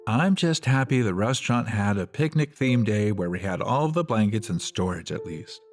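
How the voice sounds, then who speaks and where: A man playing a mellow, laid-back, sincere survivor in a radio play. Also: lowish voice, expressive, normal speed, soft background music, natural voice. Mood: wistful.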